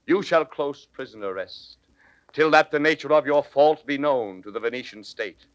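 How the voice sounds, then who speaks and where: An actor playing a stern, authoritative nobleman with formal, measured declamation in a 1940s Shakespearean audio drama. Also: medium pitch, no room sound, lo-fi sound, close to an everyday voice. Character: stern, authoritative Venetian nobleman passing judgement.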